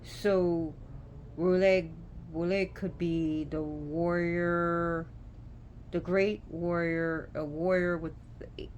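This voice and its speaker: A woman at home slowly talking an online audience through old lore, feeling for each word, with noise behind her. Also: lowish voice, level tone, darkish tone, dull audio. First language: not Gaelic. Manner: halting, searching for words. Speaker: presenter explaining names of a Celtic deity. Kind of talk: informal unscripted lecture. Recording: clear background noise.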